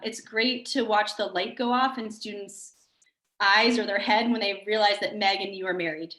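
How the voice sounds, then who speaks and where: A woman's voice: a little high, a bit bright. A woman moderating a panel in a friendly, chatty way. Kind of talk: hosting a panel. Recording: roomy echo.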